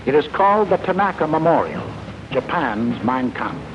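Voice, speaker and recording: A man's voice: a little high, big pitch swings, normal speed. A man narrating a 1940s propaganda film in an emphatic, sardonic manner. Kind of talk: 1940s scripted documentary narration. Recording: dull, band-limited 1940s film soundtrack. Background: loud music score.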